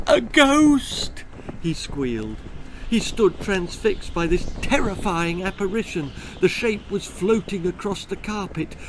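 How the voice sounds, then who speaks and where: A man's voice: on the high side, slight background noise. A man reading a spooky, comic children's story in a theatrical, suspenseful manner. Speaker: author reading own story. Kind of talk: dramatic children's horror-comedy storytelling.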